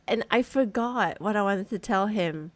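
A woman's voice: mid-range voice, moderate pace, no room sound. A woman co-hosting a podcast in casual chat, reflective and curious, laughing easily as she talks. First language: English. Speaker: podcast co-host.

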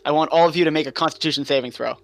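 A man running a tabletop game as game master, narrating scenes in a drawn-out way and laughing often with his players. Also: fairly high pitch, vocal fry, fairly bright tone, soft background music.

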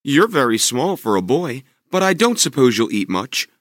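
A man's voice: medium pitch, big pitch swings, normal speed, no room sound, studio quality, voice pushed up. A man voicing a kindly but fretful giant woman in a storybook reading, warning and anxious.

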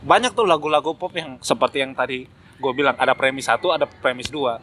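A man's voice: medium pitch, fairly quick, bright, forceful tone, low-quality recording. A man in a casual, opinionated discussion, speaking emphatically and critically and repeating phrases to drive points home. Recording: noticeable background noise.

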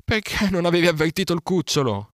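A man plays a furious male panther in a storybook tale. The voice is raised well above natural talk, loud and scolding. It roars with impatient scorn in a dry, close recording. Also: on the high side, animated, fast talker, dry recording, voice pushed up. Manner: roaring, scornful reproach.

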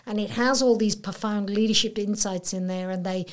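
A woman's voice: medium pitch, fairly even intonation, fairly quick, slight background noise. A middle-aged woman with a Welsh accent, a council chief executive interviewed as a guest, talking earnestly in a motivational way. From Wales. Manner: earnest, motivational, speaks in full stories. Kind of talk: casual interview.

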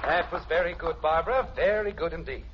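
An actor playing a formal, upbeat master of ceremonies at a school contest in an old radio comedy. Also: male voice, fairly high pitch, brisk, over loud music, lightly acted. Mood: formal, encouraging. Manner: formal, declamatory. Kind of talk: old-time radio acting, public address. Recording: dull, noisy old radio recording.

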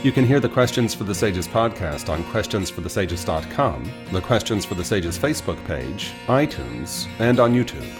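A man's voice: lowish voice, sing-song, normal speed, few pauses, over music. A man hosting a podcast, reading an introduction and then asking questions, often stammering and repeating words as he asks. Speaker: podcast host. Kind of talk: interview host, scripted intro then chat.

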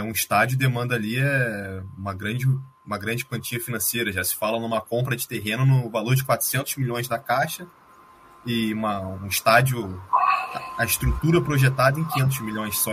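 A man, a podcast guest who lays out his analysis calmly, pausing to find his words. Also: mid-range voice, a little hiss or hum. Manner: measured, analytical, with hesitations. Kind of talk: casual podcast chat.

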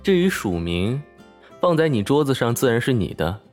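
A man's voice: mid-range voice, sing-song, normal speed, darkish tone, close to an everyday voice. An actor playing a confident, devoted young male office colleague and suitor in a romantic audio drama. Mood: tender, earnest. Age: young adult. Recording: plucked guitar music behind the voice.

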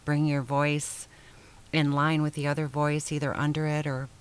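An older woman from Michigan, a singer-songwriter guest, answering questions hesitantly with many pauses and small laughs. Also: sits low, little pitch movement, smooth. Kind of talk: casual interview chat.

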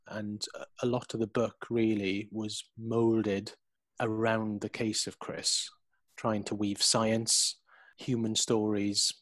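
A man's voice: lowish voice, dry recording. A man, an intensive care consultant, answering interview questions as a reflective storyteller who acts out quoted dialogue, recorded over a video call.

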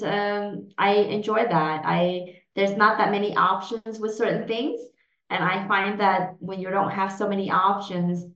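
A woman in her forties from Vietnam, a native Vietnamese speaker, answering interview questions hesitantly with many fillers and restarts. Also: mid-range voice. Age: 40s. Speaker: podcast guest. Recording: roomy, dull lo-fi sound.

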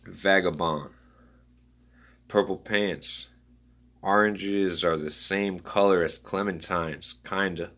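A man musing aloud in a rambling spoken-word style, reading his own notes in halting fragments. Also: sits low, fairly even intonation, a bit bright.

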